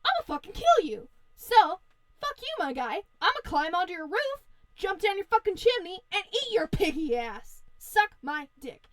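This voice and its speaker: A woman's voice: high-pitched, animated, a little slow, fairly bright tone. A woman voicing a foul-mouthed, hungry male wolf from a fairy tale, talking in crude bro slang. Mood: furious.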